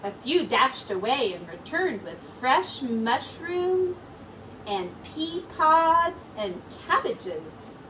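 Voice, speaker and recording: A woman teacher reading a storybook aloud to children in an expressive, deliberate way with drawn-out words. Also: high-pitched. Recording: distant mic with clear background noise.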